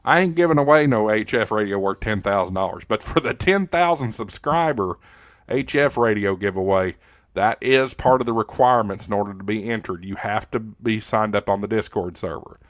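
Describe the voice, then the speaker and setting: American guy streamer, lowish voice, chatting to his viewers, folksy and jokey, dry close sound. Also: moderate pace, no room sound. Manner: informal, folksy, joking. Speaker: livestream host. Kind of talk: casual livestream chat reading viewer comments.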